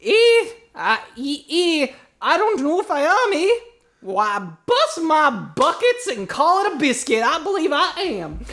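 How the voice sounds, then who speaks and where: A woman's voice: high voice, very animated, a little slow, a bit bright, voice pushed up, full character voice. A woman voicing a genteel, flustered older lady mystery novelist in an improvised radio comedy, with folksy, theatrical exclamations.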